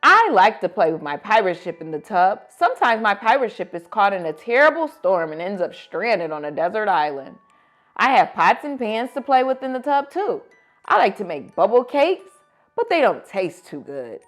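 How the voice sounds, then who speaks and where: A woman reading picture books aloud to children as host, with expressive, dramatic emphasis. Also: mid-range voice, normal speed, soft background music. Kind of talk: animated storybook read-aloud for children.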